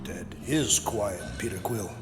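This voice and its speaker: An actor playing a literal-minded alien warrior in a video game, speaking formally and deadpan. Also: male voice, fairly low pitch, a little slow, natural voice. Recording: loud music, hall reverb.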